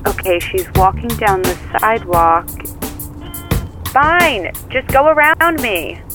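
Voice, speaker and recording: A woman's voice: mid-range voice, animated, takes it slowly, music in the background. A woman acting in an audio drama as a chatty, slightly flustered driver tailing a suspect, reporting what happens in a hesitant, conversational way. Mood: wry, observant. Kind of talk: naturalistic audio drama phone dialogue.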